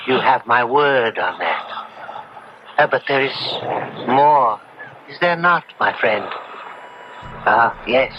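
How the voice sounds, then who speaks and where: A wealthy, knowing marquis speaks slowly and formally, measuring out each phrase in courtly fashion. It sounds like vintage radio drama, dulled and noisy. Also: male voice, mid-range voice, lively intonation. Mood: solemn, knowing. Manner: deliberate, smooth-worded, formal. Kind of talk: 1970s radio drama acting. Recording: muffled, noisy old radio broadcast.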